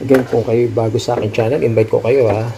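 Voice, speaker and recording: A Filipino man giving a casual hands-on tutorial, thinking aloud in a halting way as he works. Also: medium pitch, little pitch movement, heavy background noise. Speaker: DIY repair vlogger.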